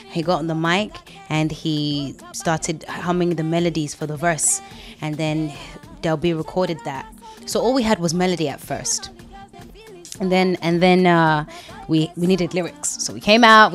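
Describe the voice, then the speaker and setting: A young woman with a London accent chats freely in an interview. Her voice sits low and she tells her stories with plenty of restarts. Soft music runs faintly behind her. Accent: London English. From London, England. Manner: chatty storyteller, quick to laugh, talks through restarts. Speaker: singer being interviewed. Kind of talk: casual interview chat.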